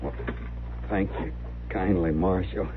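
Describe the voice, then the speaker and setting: Slow, low, humble frontier drifter. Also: male voice, fairly even intonation. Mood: humble, wistful. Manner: slow, halting, folksy rural speech. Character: humble, drifting, melancholy drummer lad of the Old West. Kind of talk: 1950s radio western acting. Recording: very muffled, noisy old radio recording.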